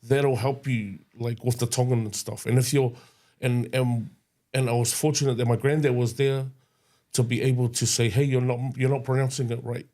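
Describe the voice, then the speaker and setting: A young man co-hosting a casual podcast, talking in a halting way with lots of "um" and "yo" interjections. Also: medium pitch, little pitch movement, warm tone. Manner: halting, many fillers and restarts, says yo often. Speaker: podcast co-host. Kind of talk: casual chat.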